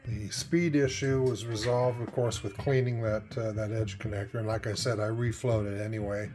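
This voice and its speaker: A man's voice: fairly low pitch, steady tone, moderate pace, darkish tone. A plain-spoken man presenting an informal hands-on repair demonstration as a technician, recorded on a cell phone at a workbench. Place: indoors at a repair bench. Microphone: cell phone camera. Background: music playing from tape machine.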